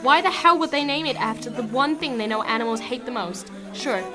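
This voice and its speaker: A woman's voice: fairly high pitch, a little fast, over music, lightly acted. A woman playing a proud, doubtful chicken keyboardist in an animal audio story, with a dry, self-assured manner. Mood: indignant.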